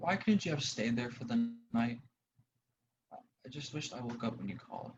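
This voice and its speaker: A man's voice: medium pitch, expressive, normal speed, darkish tone, breathy edge. A young male actor playing a grieving, self-doubting athlete in a drama, sounding unsure and apologetic. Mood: grief, guilt. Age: young adult. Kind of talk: drama dialogue. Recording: dull, noisy lo-fi audio with clicks.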